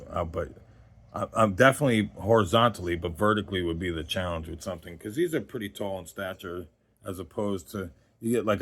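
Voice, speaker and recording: A man's voice: sits low, fairly bright tone. A man in his forties giving a casual, unscripted gear review as host, in a rambling conversational way that trails off mid-thought. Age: 40s.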